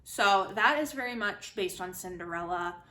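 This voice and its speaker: A woman giving a casual, chatty spoken book review, retelling and commenting in an animated way. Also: medium pitch, moderate pace. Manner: animated, chatty, acts out quotes informally. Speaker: book reviewer, host of a literary video series.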